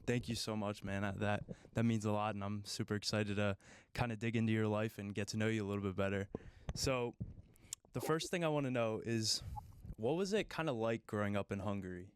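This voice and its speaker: A man's voice: lowish voice, brisk, clear, resonant tone. A man with an American accent hosting a podcast in a casual, conversational interview style. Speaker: podcast host.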